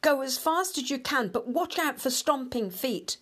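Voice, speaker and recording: Male town mouse for a storybook: brash, worldly, bossy, higher-pitched, acted out by a woman. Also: animated, moderate pace, a bit bright, breathy edge, full character voice. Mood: urgent. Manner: bossy, scoffing. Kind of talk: children's storybook character voice.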